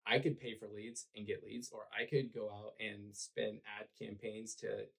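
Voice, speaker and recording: A man, hoarse and raspy from cheering, talking earnestly about his work as a podcast guest with lots of fillers. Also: lowish voice, level tone, normal speed, fairly bright tone. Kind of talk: conversational podcast interview.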